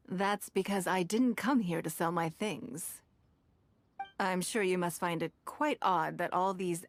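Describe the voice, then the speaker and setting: An actress voicing a composed, shrewd and wealthy woman who hosts an auction in a game, speaking in a measured, faintly amused way. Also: fairly low pitch. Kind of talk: game voice acting.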